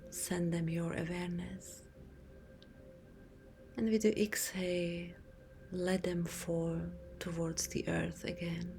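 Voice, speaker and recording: A woman speaks in a soft, breathy half-whisper, soothing and unhurried with long pauses, as a yoga teacher leading a guided relaxation meditation. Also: lowish voice, flat delivery. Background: music.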